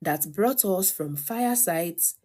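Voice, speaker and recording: A woman narrating a scripted documentary in a measured, articulate way. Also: fairly low pitch, lively intonation, mellow.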